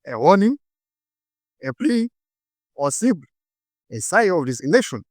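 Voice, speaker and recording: A man from northern Malawi with a Malawian accent, giving a rehearsed inspirational speech in short, deliberate phrases with dramatic pauses. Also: sits high, sing-song, no room sound, clean studio sound. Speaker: speaker giving a prepared talk. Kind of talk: rehearsed inspirational public speech with personal storytelling.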